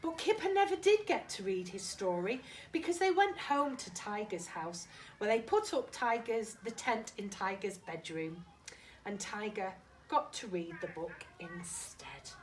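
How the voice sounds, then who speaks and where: Female storyteller for young children: lively, swooping delivery, dramatic, recorded a little far from the mic with faint noise. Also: medium pitch, unhurried. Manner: animated, dramatic, addresses young listeners with questions. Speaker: primary school teacher reading to children. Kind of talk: expressive children's storybook reading.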